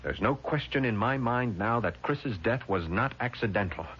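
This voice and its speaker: A man's voice: medium pitch, animated, normal speed, a bit bright, close to an everyday voice. An actor in a vintage radio drama playing an earnest, protective man who speaks with emphatic urgency. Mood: earnest, grave. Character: earnest, incredulous, protective man taking charge. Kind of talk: 1970s radio drama acting. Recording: dull, noisy vintage radio recording.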